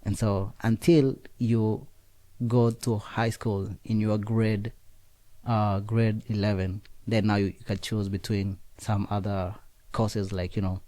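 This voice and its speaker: A young man from Kenya, raised speaking Nandi, tells his story in an unhurried interview with plenty of fillers and restarts. His voice is mellow and sits a bit low. The sound is dry and close. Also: flat delivery, no room sound. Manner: halting, frequent fillers and restarts. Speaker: interview guest. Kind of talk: casual conversational interview.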